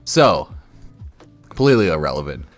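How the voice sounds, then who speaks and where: A man's voice: very deep voice, sing-song, normal speed. Tipsy guy streaming, blunt sweary rambling, repeats stuff, bit of music behind him. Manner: repeats himself for emphasis, tags lines with "right?". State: a bit drunk. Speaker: game streamer. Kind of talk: casual spoiler commentary from a livestream.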